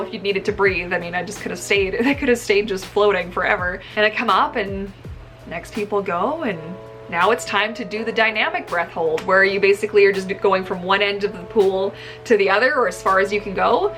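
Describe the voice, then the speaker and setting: A woman in her thirties telling a personal story casually to camera in an animated, emphatic way, recorded in a room at home. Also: fairly high pitch. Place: small indoor room at home.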